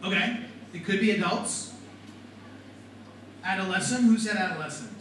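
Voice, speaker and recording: A middle-aged man with a fairly high voice lectures a class, animated and quizzing his listeners. He sounds far from the microphone in a very echoey classroom. Noise hangs under the speech. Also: normal speed. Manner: animated, talks to the class with questions. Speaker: writing and rhetoric teacher. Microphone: far from the mic.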